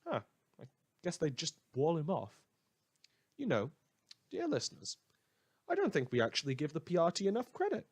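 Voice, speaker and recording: A man hosting a scripted fictional radio show, telling it in a measured, wry and theatrical way. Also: a little high, huge pitch swings, husky.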